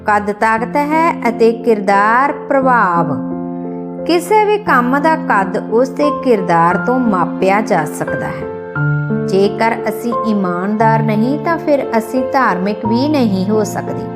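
A woman reads a scripted inspirational piece as an audiobook narrator, in measured, declamatory short phrases. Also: a little high, lively intonation, loud music behind the voice.

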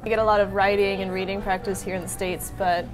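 Young woman chatting easy about class abroad, music playing clearly behind. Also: medium pitch, quick pace, low-quality recording. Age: 20s. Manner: relaxed, chatty, frequent "like". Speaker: former study abroad student. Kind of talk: casual interview talk. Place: small shop, indoors.

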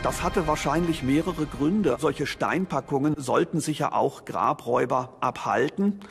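A man with a gravelly, husky voice explaining as an expert in a documentary interview. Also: fairly high pitch, measured pace. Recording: reverberant hall, faint music behind.

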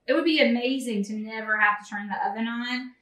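A bright, high-pitched woman talks through a recipe in her thirties, chatty and eager with her viewers. She is picked up by a camera a few feet away in a large home kitchen, so the sound is roomy and a little distant. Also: steady tone, a little fast. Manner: chatty and enthusiastic, addresses viewers as y'all. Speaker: cooking channel host. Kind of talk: casual cooking vlog. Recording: echoey kitchen, voice a bit off-mic.

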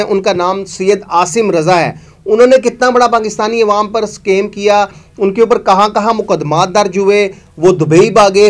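A man, hosting a news show and giving emphatic, accusatory commentary to camera in a studio. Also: high-pitched. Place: studio with green screen.

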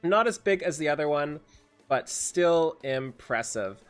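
Higher-pitched excitable young man, casual gaming commentary. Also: measured pace. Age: young adult. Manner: excitable, repetitive, hyperbolic. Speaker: gaming video host and streamer. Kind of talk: casual game update commentary. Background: music.

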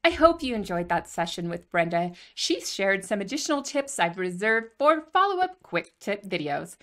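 A middle-aged American woman hosting an interview, chatting and telling stories in an animated way with asides. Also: mid-range voice, normal speed. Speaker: host interviewing a guest. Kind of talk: conversational interview and personal storytelling. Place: home office, over a video call.

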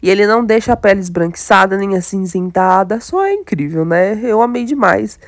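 A woman's voice: medium pitch, animated, fairly quick, no room sound. Brazilian girl w a stuffy nasal voice from a cold, gushing about makeup to her viewers, dry sound. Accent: Brazilian Portuguese. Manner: chatty, enthusiastic, addresses viewers directly. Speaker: beauty vlogger. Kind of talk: casual makeup tutorial chat.

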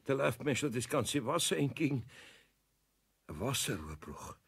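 A man's voice: medium pitch, big pitch swings, normal speed, vocal fry, breathy edge, heavily acted. An older man playing a flustered, homely master craftsman in a children's radio play, exclaiming and muttering in dismay. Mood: alarmed, bewildered.

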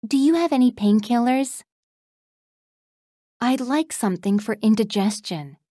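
A woman modelling phrases for learners, reading each one slowly and clearly. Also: fairly high pitch, warm tone, no room sound, studio quality. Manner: clear, deliberate, repeats each phrase evenly. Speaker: native-speaker model reader. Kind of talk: slow, careful phrase reading.

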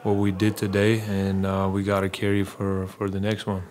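Low, flat-voiced man at a press conference. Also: a little hiss or hum. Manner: halting, repeats words, many fillers. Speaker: basketball player at a postgame press conference. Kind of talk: postgame press conference answers. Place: small press room. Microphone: table mic in front.

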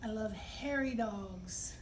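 A middle-aged woman hosting story time, speaking to young children in simple, expressive phrases. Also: mid-range voice, takes it slowly. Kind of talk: read-aloud and talk for young children. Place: indoor library children's room. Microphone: camera a few feet away. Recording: distant camera mic, clear background noise.

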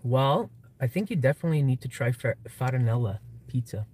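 A man's voice: medium pitch, lively intonation, a little fast, a little mellow, husky. A young man from Canada whose first language is Italian, chatting in an easygoing, friendly way as a guest on a livestream from a parked car at night. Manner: easygoing, friendly, a little hesitant. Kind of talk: casual chat. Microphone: phone on a mount in the car.

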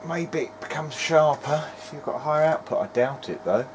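A man giving casual running commentary as he tests electronics, muttering to himself in short, halting remarks. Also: on the high side. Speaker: hobbyist presenter of a video diary. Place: small cluttered indoor electronics workbench. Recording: clear background noise in workshop.

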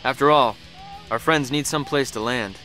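An actor playing a weary but cocky American infantry soldier, narrating in wry, slangy style for a game cutscene. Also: male voice, mid-range voice, moderate pace, pushed and bright, soft background music, natural voice. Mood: cocky, satisfied.

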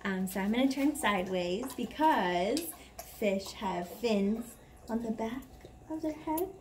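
Young mom-style woman doing kids story time, really slow n gentle, outside w some noise behind her. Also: a little high, lively intonation. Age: young adult. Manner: gentle child-directed, drawn-out phrasing. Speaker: parent reading to a toddler. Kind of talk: singing and story reading for toddlers. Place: covered backyard patio, outdoors. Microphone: camera at about arm's length. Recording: clear background noise outdoors.

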